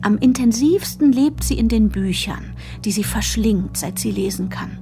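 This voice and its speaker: A woman's voice: a little high, animated, moderate pace, creaky, dark tone, faint music behind. An actress narrating a literary radio drama, portraying a proud, bookish small-town girl. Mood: intimate, observant.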